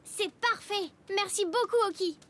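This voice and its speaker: Sensible, very high-voiced cartoon child. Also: female voice, a little slow, heavy background noise. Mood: friendly. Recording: music under the voice.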